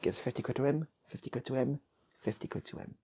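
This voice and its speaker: A man's voice: mid-range voice, fast, a little mellow, slight background noise. A man with a British accent telling a casual improvised comic story as a channel host, rambling and repeating his phrases.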